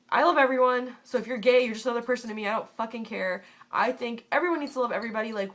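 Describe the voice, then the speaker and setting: A woman's voice: sits high, bright, forceful tone. A woman in her twenties from the United States, stuffy from a cold, talking bluntly and casually and swearing freely in a vlog. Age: 20s. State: congested from a cold. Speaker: vlogger.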